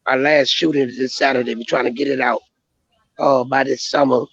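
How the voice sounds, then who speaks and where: A man who is a comedian, talking hoarsely and teasing his hosts with brash, crude banter in a casual podcast chat over a call. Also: medium pitch, steady tone, a little fast, a bit bright, dry recording, highs cut off. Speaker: guest comedian.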